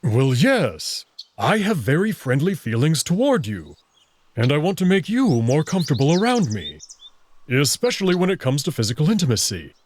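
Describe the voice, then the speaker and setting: A man playing an earnest, nervous wolf alien who speaks in formal, halting phrases. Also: fairly high pitch, wildly swooping pitch. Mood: earnest explaining.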